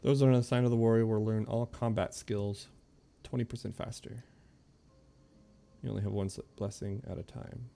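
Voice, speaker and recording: A man streaming a game with low-key, musing commentary, in a casual live style. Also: level tone, moderate pace, creaky, warm tone. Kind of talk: casual live gameplay commentary, sometimes reading game text aloud. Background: faint game music.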